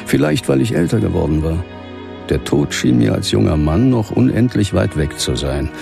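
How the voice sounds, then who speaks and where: An actor playing a somber, middle-aged ghost hunter who grieves and narrates his horror audio play in measured phrases. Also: fairly low pitch, sing-song, creaky, music in the background, exaggerated voice. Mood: brooding, grieving. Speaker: lead actor and first-person narrator.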